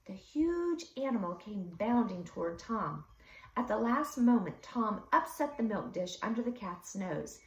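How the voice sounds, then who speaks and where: Female teacher read-aloud narrator, animated pitch, roomy and slightly distant recording. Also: measured pace. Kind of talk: expressive children's story narration. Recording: echoey room, slightly distant mic.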